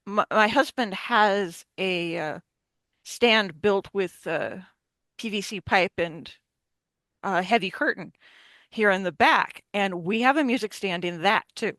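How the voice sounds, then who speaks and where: A woman giving measured, tactful feedback in a casual group discussion. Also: medium pitch, a bit bright, no echo. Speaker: radio play narrator and panelist.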